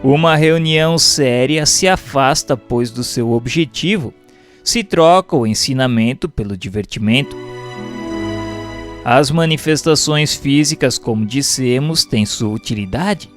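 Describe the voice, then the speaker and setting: A Brazilian man narrates a written text in a mid-range voice. His delivery is formal and measured, like a teacher setting out principles. Soft music plays behind him. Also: medium pitch. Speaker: narrator reading a spiritist book aloud. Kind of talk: scripted audiobook narration.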